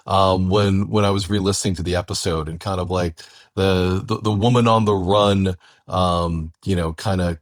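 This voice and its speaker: A man's voice: low-pitched, no echo, studio quality. A man co-hosting a podcast, chatting casually and conversationally as he introduces an audio drama. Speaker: podcast co-host.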